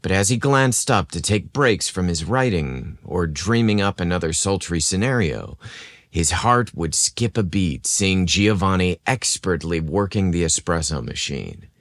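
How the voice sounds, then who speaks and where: A man hosting a podcast and narrating scripted romantic stories in a theatrical, expressive manner. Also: deep voice, no room sound, clean studio sound. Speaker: podcast host and story narrator.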